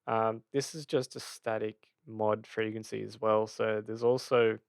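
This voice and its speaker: A man giving an informal software tutorial, hesitant and thinking aloud as he explains. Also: fairly low pitch, flat delivery, measured pace, vocal fry, clear, resonant tone, dry recording, clean studio sound. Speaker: tutorial host.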